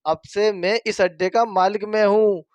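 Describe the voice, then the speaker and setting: Storybook crook voiced by a man: treacherous and cowardly, high clear voice. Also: steady tone, measured pace, no room sound. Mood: smug, gloating. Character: gloating, treacherous gangster turned cowardly pleader. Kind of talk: dramatized Hindi moral horror story.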